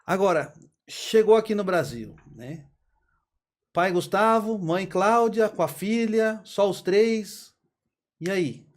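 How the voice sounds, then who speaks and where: A man hosts a casual podcast interview, with a voice a little higher than most men's. He asks friendly, prompting questions that invite the guest to keep talking. His tone is relaxed and conversational. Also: slow pace. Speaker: podcast host.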